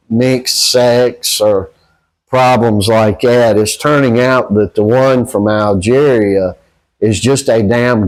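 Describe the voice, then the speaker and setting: A man with a Southern US accent giving casual, rambling opinions to viewers in a halting, folksy, blunt way. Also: medium pitch, rough recording. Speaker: boxing channel host.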